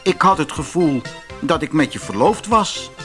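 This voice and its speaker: A man voicing a quick-witted, eager young hero in a fairy tale audiobook, speaking earnestly. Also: on the high side, animated, pushed and bright, soft background music. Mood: eager, relieved.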